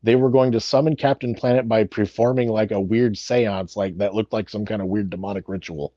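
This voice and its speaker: A man with a slightly low voice talks informally among castmates. The recording is dull and lo-fi, with a dry, echoless sound. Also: steady tone, a little fast, warm tone. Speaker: cast member on commentary. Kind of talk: casual chat.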